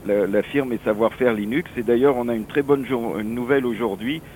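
A mature man with a French accent from France speaks as an interview guest over the telephone, with faint noise on the line. His explanations are halting and digressive, in a mid-pitched voice. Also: a little mellow. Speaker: association president, interview guest. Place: office, on the phone.

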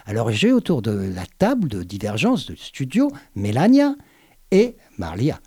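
Lively chatty male radio host. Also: medium pitch, a little slow, breathy edge. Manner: lively, talkative, many fillers. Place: radio studio.

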